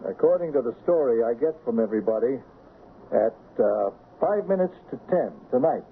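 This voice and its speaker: An actor playing a folksy middle-aged small-town American sheriff, halting and homespun, in radio drama style. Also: male voice, medium pitch, expressive, close to an everyday voice. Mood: methodical. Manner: halting, homespun, repeats himself. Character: folksy small-town county sheriff, genial, slightly bumbling father. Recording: muffled, noisy old radio broadcast.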